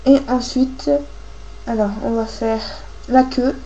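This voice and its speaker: High-voiced woman with a cold. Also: level tone, dark tone, dull audio. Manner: informal, halting, thinking aloud. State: has a cold, coughing. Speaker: craft tutorial host. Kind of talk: casual hands-on tutorial, talking while working. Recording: clearly noisy homemade recording with clicks.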